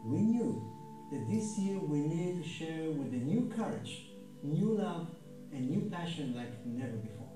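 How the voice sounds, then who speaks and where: An earnest man narrating a ministry video in a rhythmic, emphatic way. He sounds distant and very echoey. Music plays clearly behind him. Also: on the high side, big pitch swings, unhurried.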